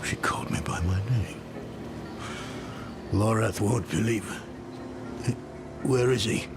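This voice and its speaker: Earnest, creaky-voiced older scholar. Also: male voice, loud music behind the voice. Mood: alarmed. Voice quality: low, creaky. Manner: expressive, deliberate, concerned. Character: earnest, troubled older male Horadrim scholar. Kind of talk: cinematic fantasy game acting.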